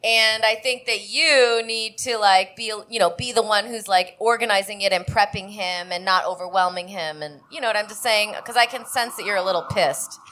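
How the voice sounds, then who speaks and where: A woman's voice: mid-range voice, normal speed, hardly any pauses, sharp tone. A woman co-hosting a live comedy podcast, giving blunt, opinionated advice on stage. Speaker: podcast co-host.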